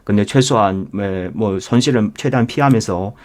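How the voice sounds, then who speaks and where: Male investment instructor, lowish voice, emphatic live lecture delivery with rhetorical questions to the audience. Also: moderate pace. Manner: addresses listeners directly and repeatedly. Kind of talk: live market lecture with membership pitch.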